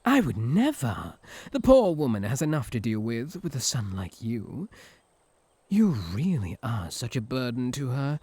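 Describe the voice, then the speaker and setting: Smug incubus suitor, acted by a British man, breathy-rough edge. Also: mid-range voice, sing-song, measured pace, a little mellow, no echo. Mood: smug, taunting.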